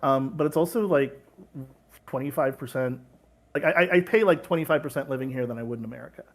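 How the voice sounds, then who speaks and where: A man's voice: mid-range voice, fast. A man from California talking casually in an interview as a game publisher, rambling with many fillers and restarts over a video call.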